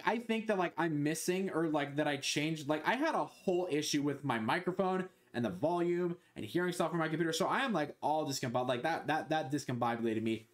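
A young man streaming a video game from his bedroom on a headset mic, rambling, scattered and swearing a lot. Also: a little high. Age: young adult. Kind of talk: casual gameplay commentary.

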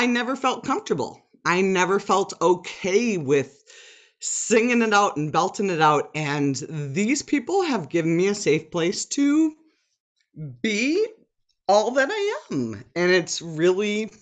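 A woman with a deep contralto voice chatting on a video call from home before singing. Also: sing-song, measured pace. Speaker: open mic performer. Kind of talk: casual chat and singing. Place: home room with ceiling fan.

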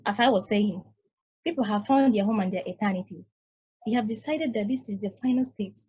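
A young woman on a video call voicing a weary, philosophical female space probe in a calm, reflective monologue. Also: mid-range voice, fairly quick, slightly rough, muffled recording. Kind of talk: amateur table read.

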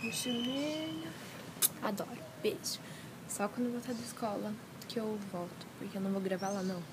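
A teenage girl from Brazil talking casually to a phone camera held at arm's length, animated and slangy. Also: mid-range voice, normal speed, background noise. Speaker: vlogger. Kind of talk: casual day-in-the-life vlog. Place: bedroom and shopping mall, indoors. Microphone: handheld phone camera at arm's length.

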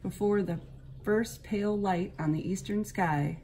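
A woman's voice: medium pitch, unhurried, warm tone, slight background noise, lightly acted, rough recording. A woman voicing a busy muskrat speaking in a plain, matter-of-fact way.

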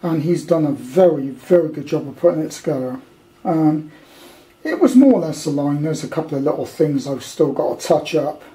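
An older British man with a fairly high-set, soft-edged voice. He talks off the cuff in a casual hands-on demonstration, wandering from point to point with blunt grumbles. The tone stays dark and relaxed. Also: normal speed, rough recording. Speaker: amateur radio hobbyist presenting a kit. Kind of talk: casual unscripted show-and-tell. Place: indoors at a tabletop at home.

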